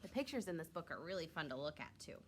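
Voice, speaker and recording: A woman reads a children's picture book aloud in the style of a schoolteacher. Her pitch is lively and moves up and down a great deal. She is expressive and pauses for simple asides aimed at young listeners. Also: a little hiss or hum. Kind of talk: storybook read-aloud with short asides.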